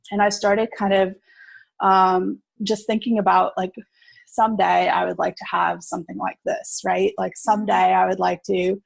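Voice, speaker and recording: A woman's voice: medium pitch, vocal fry, no echo. A woman in her thirties, originally from South Africa but with an American accent, casually telling her story as a podcast guest, full of fillers and easy laughter. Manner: chatty, lots of fillers, laughs easily.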